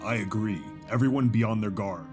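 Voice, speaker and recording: A man's voice: fairly low pitch, brisk, a little mellow, soft background music, natural voice. A man playing a wary, watchful warrior pony who speaks in a terse, guarded way.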